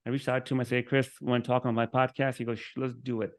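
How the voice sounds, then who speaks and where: A man's voice: mid-range voice, little pitch movement, fast talker. A man hosting a podcast, interviewing a guest in a friendly, casual, joking way. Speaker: podcast host.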